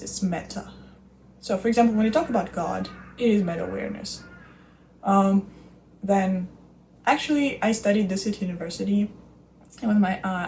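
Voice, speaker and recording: A young woman, thinking aloud in a reflective solo talk and often pausing to search for her words. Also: mid-range voice, gravelly, darkish tone, a little hiss or hum, rough recording. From Russia. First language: Russian. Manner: thoughtful, searching for words, many fillers. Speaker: podcast host.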